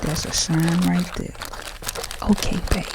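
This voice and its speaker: A woman with a Southern US accent doing chatty ASMR, speaking softly and half-whispering like a folksy auntie giving advice. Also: fairly low pitch, level tone, measured pace, gravelly, noisy background. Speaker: ASMR creator packing orders.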